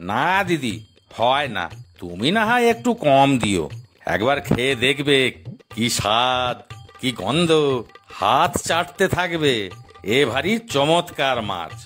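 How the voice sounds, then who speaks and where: A man's voice: mid-range voice, sing-song, takes it slowly, a bit bright, faint music behind. A man voicing a persuasive, persistent fish seller in a children's cartoon, full of market patter.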